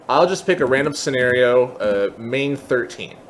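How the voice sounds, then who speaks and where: A man hosting a casual software tutorial, explaining things step by step in an informal way. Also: medium pitch, lively intonation, normal speed, slight background noise. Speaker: tutorial host.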